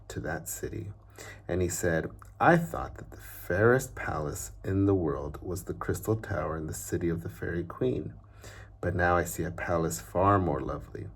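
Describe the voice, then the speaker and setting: A man with a very low, husky voice reads a children's storybook aloud. His phrasing is measured and deliberate, and the ends of his lines often drop away softly.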